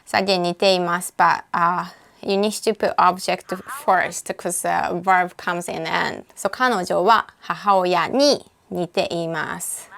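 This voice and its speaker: Woman, Japanese accent, native Japanese speaker, relaxed walkthrough tutor, smooth clear voice, thinks aloud, frequent uh's. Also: fairly low pitch, unhurried. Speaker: language tutor. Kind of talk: casual screen-recorded app walkthrough.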